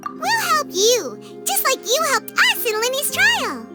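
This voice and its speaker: A woman voicing a small, childlike, eager fairy companion with a squeaky, chirpy voice in a video game. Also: huge pitch swings, slow, full character voice. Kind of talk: animated video game voice acting. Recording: loud music under the voice. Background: loud music.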